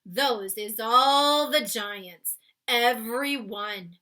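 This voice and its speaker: A woman voicing a big friendly male giant, excitable and blustering, with garbled folksy grammar, in a children's storybook reading. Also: a little high, very slow, full character voice. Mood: urging. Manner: garbled grammar, invented words, emphatic. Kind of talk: children's storybook read-aloud.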